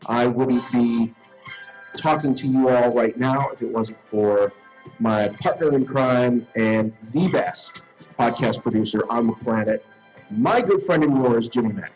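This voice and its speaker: A man's voice: medium pitch, unhurried, darkish tone, poor audio. A man who co-hosts a podcast, giving a recorded acceptance speech with thanks. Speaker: podcast co-host accepting an award. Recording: music behind the voice. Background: music.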